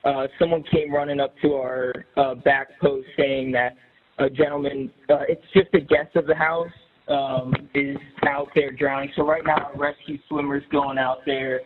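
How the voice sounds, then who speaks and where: A man phones in an emergency report in a businesslike but hesitant way. His voice sits at a medium pitch, squeezed through a narrow phone line with light noise on it. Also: little pitch movement, moderate pace. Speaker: caller reporting an emergency, security command post. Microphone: telephone.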